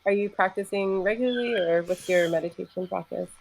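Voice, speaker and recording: A woman's voice: mid-range voice, fairly quick, vocal fry, rough recording. A young adult woman musician in casual conversation, talking loosely with many "like" fillers and easy laughter. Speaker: singer and musician.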